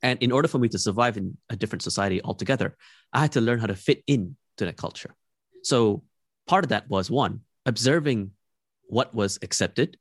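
Young man from Malaysia, low voice, dry close sound, reflective interview guest. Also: no room sound. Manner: thoughtful, measured, frequent "like" and restarts. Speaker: podcast guest.

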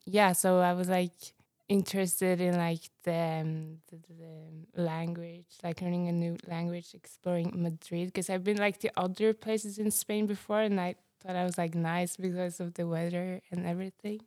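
A woman's voice: fairly low pitch, level tone, moderate pace, a little mellow, dry recording. A nineteen-year-old woman from Norway chatting as a guest, hesitant and giggly, with lots of fillers. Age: late teens. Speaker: guest student. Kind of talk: casual interview chat.

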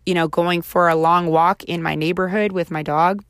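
A woman with a raspy voice recovering from laryngitis, chatting casually and encouragingly on her solo podcast. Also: lowish voice, fairly even intonation, moderate pace, dry recording, studio quality. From Houston, Texas. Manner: chatty, encouraging, self-reflective. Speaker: podcast host.

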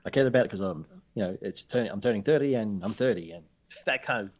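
A man's voice: on the low side, animated, quick pace, a little mellow. A man in his thirties co-hosting a casual podcast chat, playful and teasing, laughing often. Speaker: podcast co-host.

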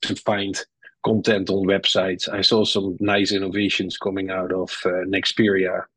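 A man's voice: sits low, level tone, moderate pace, fairly bright tone, husky, no echo, poor audio. A middle-aged man with a Dutch accent, a marketing executive talking candidly as an interview guest, blunt and rambling with many restarts. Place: lives in Silicon Valley.

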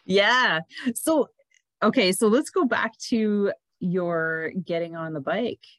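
A woman's voice: mid-range voice, takes it slowly, fairly bright tone, no room sound. A woman hosting a casual podcast interview, chatting in an enthusiastic way. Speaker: podcast host.

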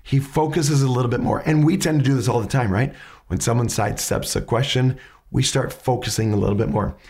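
Raspy-voiced man teaching a lesson. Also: mid-range voice, brisk, a little mellow. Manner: methodical, restarts mid-sentence while explaining. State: raspy from hay fever. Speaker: podcast host teaching questioning. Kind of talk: casual explanatory lesson.

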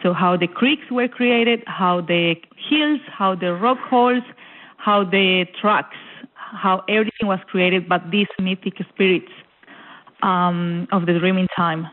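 A woman's voice: lowish voice, slow pace. Colombian-accented woman on a phone line. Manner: eager, repeats words when searching for them. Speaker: guest, cultural centre officer. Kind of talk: casual interview chat.

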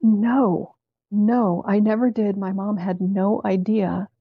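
A woman speaking as an interview guest, reflective and measured as she tells her own story. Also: medium pitch, fairly even intonation, husky, dry recording, narrow, tinny audio. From Canada. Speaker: therapist and writer, interview guest. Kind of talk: conversational interview, telling personal story.

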